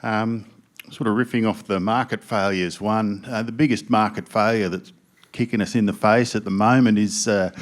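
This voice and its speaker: An Australian man asks a blunt question from the back of the room. His voice sits a bit low and he speaks casually. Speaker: audience member.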